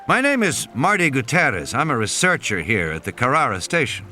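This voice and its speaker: A man voicing a courteous, inquisitive male researcher in audiobook dialogue, measured and polite. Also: medium pitch, wildly swooping pitch, sharp tone, exaggerated voice. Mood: friendly, polite. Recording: music under the voice.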